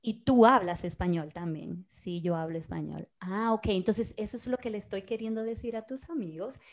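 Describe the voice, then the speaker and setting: A woman's voice: medium pitch, moderate pace. A Dominican woman in her thirties co-hosting a casual podcast, telling stories and acting out what people said. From Dominican Republic. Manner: animated storyteller, acts out quoted dialogue. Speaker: podcast co-host. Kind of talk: casual conversation.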